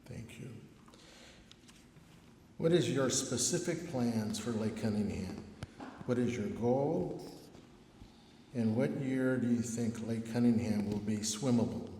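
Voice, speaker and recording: A man's voice: mid-range voice, slow pace, long pauses, mellow. A man moderating a forum, briefly reading out questions. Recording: echoey hall with faint noise.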